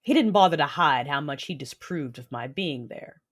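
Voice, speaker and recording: Fallen Jedi turned Sith played by an actress: deep, husky woman, defiant and intense, narrating a sci-fi audio drama. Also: lively intonation, moderate pace. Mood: composed, proud.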